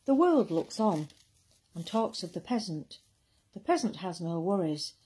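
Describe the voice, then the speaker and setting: Woman reciting poetry, soft mellow tone. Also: on the low side, very animated, measured pace, husky, low-quality recording. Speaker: poetry reader.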